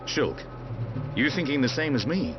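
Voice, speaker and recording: Wry seasoned warrior, expressive acted by a man. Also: medium pitch, moderate pace, music in the background, natural voice. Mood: musing, wry. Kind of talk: video game cutscene acting. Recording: muffled game audio, noisy ambience behind.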